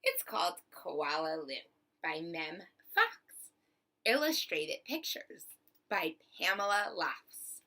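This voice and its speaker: Slow, bright woman reading a storybook. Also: lowish voice. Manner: expressive, deliberate phrasing for young listeners. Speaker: teacher reading a picture book aloud. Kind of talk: storybook read-aloud for children.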